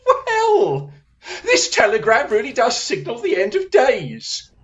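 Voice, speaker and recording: A woman's voice: on the high side, wildly swooping pitch, slow, dull audio, exaggerated voice. A woman playing a restless, haughty male detective in an audio drama, with a theatrical, disdainful, declamatory way of speaking. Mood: amused, incisive. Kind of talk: radio-style audio drama acting.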